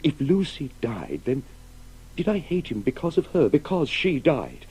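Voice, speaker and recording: An actor playing an anguished middle-aged father full of guilt and grief in a radio play, halting and repeating himself. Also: mid-range voice, wildly swooping pitch, darkish tone, slightly rough, full character voice, rough recording. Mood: guilt-ridden, anguished. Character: anguished, guilt-ridden father grieving a lost woman. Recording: faint hiss of an old radio broadcast.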